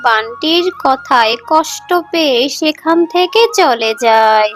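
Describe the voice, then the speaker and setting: Woman reading a kids story, high voice, slow, bit of music behind. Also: expressive, a bit bright. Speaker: story narrator. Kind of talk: scripted children's story narration.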